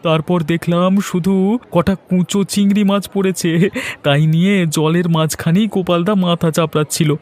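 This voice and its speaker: A man's voice: sits high, steady tone, moderate pace, a little mellow, lightly acted. A man voicing an earnest, sympathetic, plain-spoken village fisherman in a cartoon. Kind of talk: cartoon dialogue acting.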